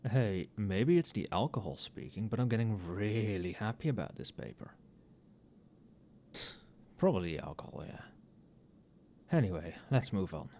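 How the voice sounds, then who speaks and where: Male, acting as a friendly, conscientious professor a few drinks in; lowish soft voice, muttering, very varied intonation, for late-night ASMR roleplay. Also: creaky, a little hiss or hum. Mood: tipsy, pleased. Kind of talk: casual ASMR roleplay, addressing an unheard colleague.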